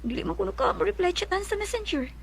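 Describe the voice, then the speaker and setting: A woman's voice: very high voice, animated, quick pace, vocal fry, slight background noise, exaggerated voice. A woman playing an emotional, protective and affectionate woman in a radio drama, with a Filipino accent. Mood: warm, chatty.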